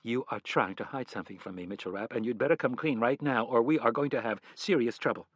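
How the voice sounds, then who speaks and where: A man's voice: medium pitch, quick pace, no echo, lightly acted. A man voicing a fiery young wife who fires off demanding, accusing questions, in an audiobook reading. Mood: angry.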